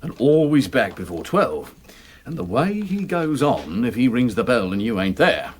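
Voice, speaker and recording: An actor playing a gossipy working-class manservant in a radio drama, complaining colloquially over drinks. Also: male voice, medium pitch, sing-song, normal speed, slight background noise, natural voice. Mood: grumbling.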